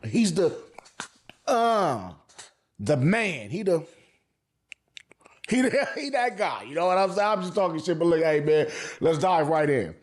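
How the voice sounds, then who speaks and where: Slangy, higher-voiced man reviewing anime. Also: sing-song, unhurried, pauses often. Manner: profane, emphatic, repeats phrases for effect. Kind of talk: casual, slangy video commentary.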